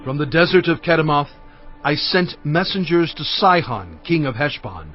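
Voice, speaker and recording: A man's voice: on the high side, expressive, lo-fi sound, faint music behind. A man playing an elderly leader solemnly recounting his people's journey in a dramatized scripture reading. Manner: solemn, deliberate storytelling.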